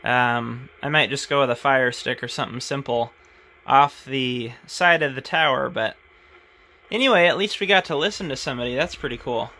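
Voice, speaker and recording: A man thinking aloud in a relaxed way as he tests his CB radio in a garage. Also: mid-range voice, moderate pace. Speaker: radio hobbyist demonstrating his CB radio. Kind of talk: casual hobby video narration. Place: home garage.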